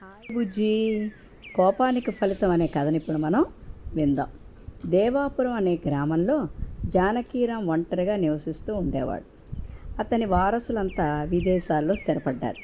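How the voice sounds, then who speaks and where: A woman's voice: mid-range voice, expressive, moderate pace, dark tone, slight background noise. A woman narrating a scripted moral story.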